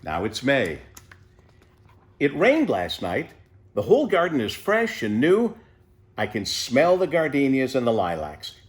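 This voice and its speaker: A man reading a children's storybook as an unhurried, contented eighty-year-old pet tortoise who savours everything with wonder. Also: medium pitch, wildly swooping pitch. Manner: savouring, leisurely, full of wonder.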